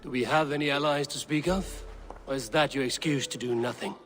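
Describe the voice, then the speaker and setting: An actor playing a proud, defiant young Viking warrior who speaks bluntly and curtly. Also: male voice, mid-range voice, fairly even intonation, fairly quick, fairly bright tone, lightly acted. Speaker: game character. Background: game score music.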